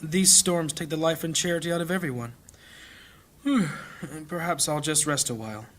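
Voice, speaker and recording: A man's voice: on the high side, moderate pace, fairly bright tone, lightly acted. An actor playing a weary, anxious old priest in a fantasy audio drama, humble and hesitant, with sighs in his voice. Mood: weary, bitter. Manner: hesitant, stammering when flustered. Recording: clear background ambience noise.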